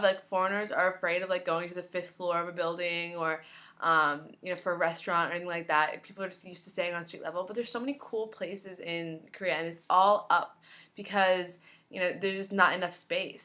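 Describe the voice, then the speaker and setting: A woman in her twenties chatting to camera in a vlog, slightly hoarse from losing her voice, with lots of ums and likes. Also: lowish voice, sharp tone. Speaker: vlogger. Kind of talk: casual chat to camera.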